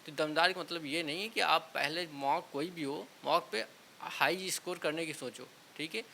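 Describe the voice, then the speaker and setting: A man talks as an exam coach to his students. His voice sits fairly high and is bright and forceful. He advises informally, often checking in with short tags, over an audible background hiss. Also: normal speed, vocal fry. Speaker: exam coaching teacher and channel host.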